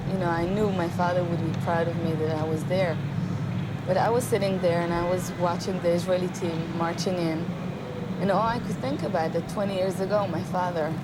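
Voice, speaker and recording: Female interview guest, young, low register, earnest and insistent, heavy traffic rumble on an archive broadcast. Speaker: interview guest, victim's daughter. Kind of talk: emotional personal interview answers.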